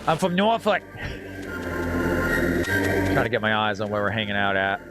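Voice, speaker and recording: A man's voice: fairly low pitch, very animated, moderate pace. A middle-aged man chatting casually while streaming a video game, playful and rambling. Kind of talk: casual live gaming commentary and chat. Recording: loud game music behind voice. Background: video game music.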